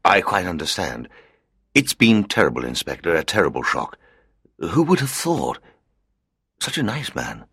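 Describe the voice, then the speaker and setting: A man voices a nervous company director in his fifties for a storybook recording. The voice is extremely deep and husky, with a lively, rising and falling delivery. The sound is dry and close. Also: normal speed, long pauses, no echo, exaggerated voice. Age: 50s. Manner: nervous, hesitant, apologetic. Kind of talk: audiobook character reading.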